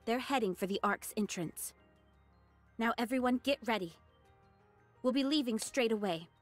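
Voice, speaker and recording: A woman's voice: fairly high pitch, moderate pace, no room sound, lightly acted. A woman voicing a confident android squad leader in an anime game, firm and decisive, with music behind her. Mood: commanding.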